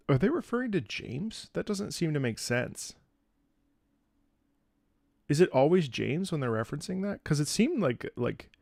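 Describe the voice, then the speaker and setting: A man streaming a video game, thinking aloud in casual, animated commentary as he analyzes the music. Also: medium pitch, creaky, dry recording, clean studio sound.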